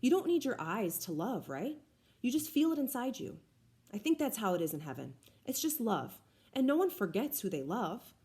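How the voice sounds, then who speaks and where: A woman's voice: a little high, big pitch swings, moderate pace, a little mellow. A woman voicing a tired, soothing mother. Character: tired, loving mother, comforting.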